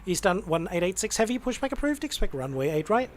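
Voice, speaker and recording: A man's voice: sits high, big pitch swings, quick pace, a little hiss or hum. A man hosting a live game stream with casual, enthusiastic commentary, often repeating phrases for emphasis.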